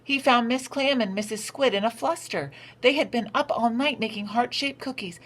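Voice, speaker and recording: A woman reading a picture book aloud for children in an expressive, unhurried storytelling manner. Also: a little high. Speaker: storytime reader. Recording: noticeable background noise.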